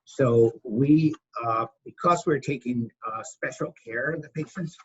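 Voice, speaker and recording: A husky man speaking as a company executive, answering questions on an investor call in a halting way, repeating words for emphasis. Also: mid-range voice, slight background noise. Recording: dull, lo-fi conference call audio.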